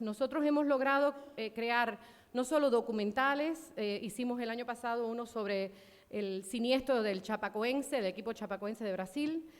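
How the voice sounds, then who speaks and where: A woman executive giving a conference presentation, speaking passionately and emphatically, with frequent fillers and restarts. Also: on the high side. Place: conference hall. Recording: long hall reverb.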